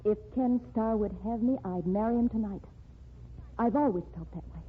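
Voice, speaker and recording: A woman's voice: medium pitch, moderate pace, breathy edge, natural voice. An actress playing a determined woman writer who pushes a man to start over, in an old radio drama. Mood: defiant. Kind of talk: 1940s radio drama acting. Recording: tinny lo-fi old radio broadcast.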